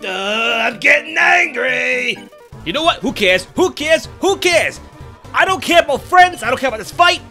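Super high ranting ghost dummy, swoops everywhere, easily flustered, game dub with music. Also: male voice, very animated, normal speed, creaky, sharp tone, raised voice, heavily acted. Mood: enraged. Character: hot-tempered incorporeal ghost in a training dummy. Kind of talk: comedic fan dub of a video game.

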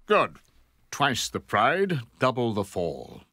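An actor playing an arrogant, aristocratic older Sith lord in a video game, imperious and theatrical. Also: male voice, medium pitch, huge pitch swings, slow pace, sharp tone, dry recording, clean studio sound, exaggerated voice. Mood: haughty menace.

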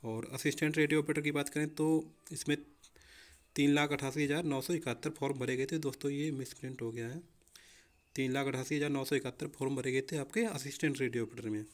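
A man's voice: mid-range voice, steady tone, quick pace. A young man explaining exam preparation informally as a coaching teacher, often addressing listeners as friends.

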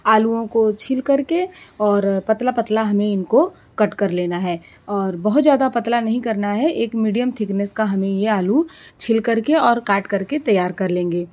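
A woman narrates a cooking tutorial as the host. Her medium-pitched voice walks through each step in an explanatory manner. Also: normal speed, darkish tone. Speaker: home cooking show host.

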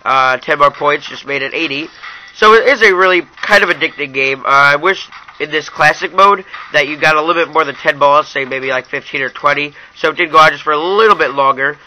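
A young man reviews an app in a casual, informal style. His voice sits a little high for a man and is very bright and forward. He addresses his viewers directly as he demonstrates. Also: a little fast, poor audio.

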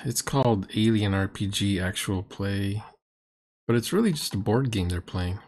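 A man's voice: on the low side, normal speed, a little mellow. A middle-aged man giving casual, critical commentary on a game recording, exasperated, mocking and emphatic. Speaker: host reacting to a game recording. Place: dim room indoors.

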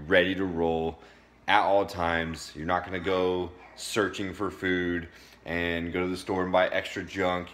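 A man's voice: deep voice, fairly bright tone. A man in his thirties giving a casual, informal how-to talk to a phone in a home kitchen, plain-spoken like a fitness vlogger. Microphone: phone at arm's length.

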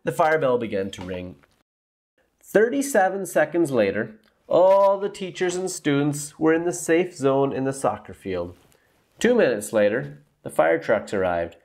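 A man in his thirties reading a children's story aloud with dramatic, deliberate emphasis and stretched words. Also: on the high side, big pitch swings, darkish tone. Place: small room at home, indoors.

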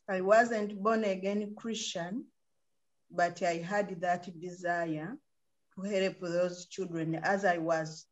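A Ugandan woman speaks slowly and earnestly about her ministry over a webcam. Her voice sits at a middle pitch and the call audio sounds muffled and lo-fi. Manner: slow, earnest testimony with soft laughs. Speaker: missionary, orphanage co-founder. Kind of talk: interview on a video call. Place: home room, sharing the frame with her husband. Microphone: video call webcam.

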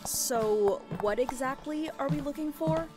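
A woman's voice: sits high, unhurried, over music. A woman acting an anxious, hard-up widowed mother in an audio drama. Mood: awed, unsure.

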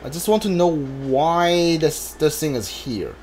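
A man giving casual, halting let's play commentary on a video game, musing to himself as he goes. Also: fairly high pitch, a little mellow, a little hiss or hum. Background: video game music.

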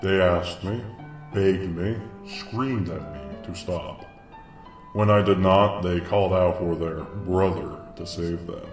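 A man playing a cold, clinical monster scientist in a comic dub, speaking formally and deliberately with hidden weariness. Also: deep voice, fairly even intonation. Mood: cold, detached. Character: cold, clinical male mad scientist monster, secretly weary. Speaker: scientist experimenting on his creations. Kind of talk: comic dub dramatic acting. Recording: distant echoey voice under loud music.

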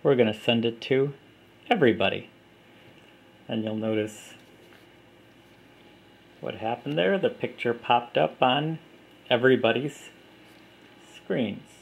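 A man giving a plain, unhurried walkthrough of an app, recorded on a camera mic indoors at a table. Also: medium pitch, gravelly, husky. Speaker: app developer demonstrating his app. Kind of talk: casual product demo.

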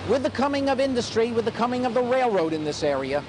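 An older man, leading a walking tour as a historian and telling its history with animated, pun-loving lecturing. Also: very high-pitched, fast talker. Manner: animated storytelling lecturer, fond of puns. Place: outdoors on a city street by a graffiti wall. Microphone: camcorder a few feet away. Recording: noisy 1980s camcorder street recording. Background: city traffic.

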